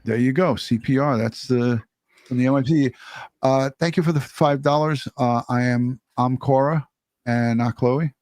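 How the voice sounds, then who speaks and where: A man's voice: mid-range voice, moderate pace, a little mellow, no room sound. A man co-hosting a livestream, reading out viewer messages and super chats in a casual, blunt way and swearing freely. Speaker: livestream co-host.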